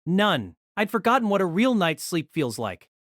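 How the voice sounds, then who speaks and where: A woman playing a gentle, honest teenage boy in love, in an audio drama. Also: fairly low pitch, sing-song, normal speed, dry recording, clean studio sound. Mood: tender.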